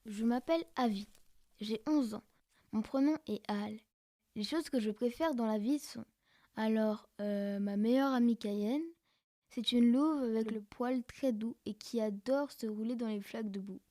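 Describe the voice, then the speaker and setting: A woman's voice: fairly high pitch, unhurried, mellow, no echo, clean studio sound, close to an everyday voice. A woman playing a playful, chatty eleven-year-old child in a podcast drama, hesitating and thinking aloud. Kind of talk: podcast fiction monologue.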